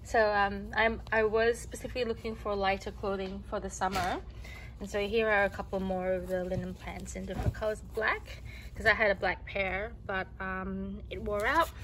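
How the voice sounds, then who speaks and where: A woman vlogger in a casual voice-over, showing her clothing purchases, talking slowly with many ums and restarts. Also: mid-range voice, fairly bright tone, a little hiss or hum, rough recording. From Australia.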